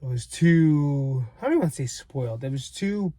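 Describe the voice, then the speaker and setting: A man's voice: fairly high pitch, lively intonation, moderate pace, warm tone, no echo. A man who works as a personal trainer, talking candidly and reflectively to his channel, rambling and self-deprecating with some swearing. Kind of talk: reflective casual monologue.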